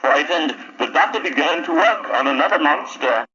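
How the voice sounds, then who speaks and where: Bright, forceful male storybook narrator. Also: on the high side, lively intonation, normal speed, husky. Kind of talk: dramatic scripted narration for a children's horror story. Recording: dull lo-fi 1970s story recording.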